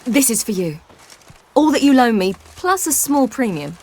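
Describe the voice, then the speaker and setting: A woman's voice: on the high side, very animated, moderate pace, darkish tone. A British woman playing a spirited, headstrong young warrior in a video game, frank, earnest and loyal. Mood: apologetic, insistent. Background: music.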